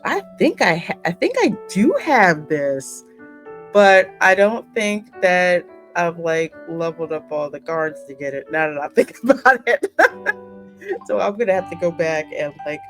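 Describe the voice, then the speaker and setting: A woman's voice: lowish voice, very animated, measured pace, fairly bright tone, faint music behind. A woman in casual, informal chat as a gaming co-host, with little self-mocking asides. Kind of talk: casual chat reacting to a game.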